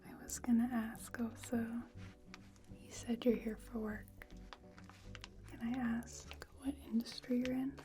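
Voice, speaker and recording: A woman whispers slowly and softly, playing an attentive upscale bartender in an ASMR roleplay. Her delivery is gentle and personal, kept very even in pitch, as if serving a single guest. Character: soft-spoken, attentive female bartender at a high-end bar. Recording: close whispered voice over clear music. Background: music.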